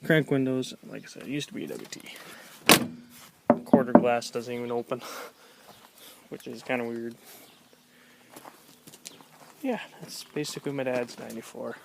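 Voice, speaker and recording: A man giving a casual, offhand walkaround in colloquial speech, low-key and gravelly. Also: normal speed, long pauses. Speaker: vlogger showing his pickup trucks. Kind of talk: casual chat, walkaround show-and-tell. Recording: noisy outdoor handheld camera recording.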